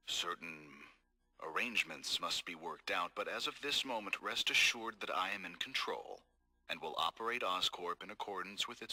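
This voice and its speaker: A cold, scheming corporate executive. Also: male voice, deep voice, husky, full character voice, poor audio. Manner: calm, measured, coldly formal. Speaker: voice actor in video game audio logs. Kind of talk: recorded game audio log.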